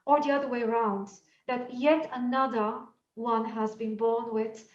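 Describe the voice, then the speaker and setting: A woman from Bulgaria with a Bulgarian accent, teaching astrology in a thoughtful lecture and often pausing with fillers as she searches for words. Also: a little high, fairly even intonation. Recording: echoey room, mic at a distance, dull sound.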